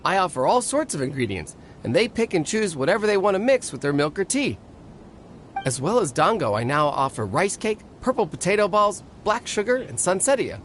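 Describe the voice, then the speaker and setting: An actor playing an earnest, eager food stall vendor in a video game, deferential and keen to explain. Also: male voice, high voice, sing-song, fairly quick, fairly bright tone, a little hiss or hum. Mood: enthusiastic, earnest. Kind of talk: video game character acting.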